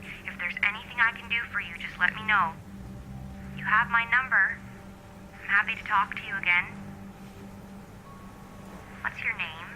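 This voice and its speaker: Grieving daughter, gentle but searching, normal pitch, audio drama w noisy ambience. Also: female voice, normal speed, pauses often. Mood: sombre, gentle. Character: bereaved grown daughter, searching, earnest and gentle. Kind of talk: naturalistic audio drama acting.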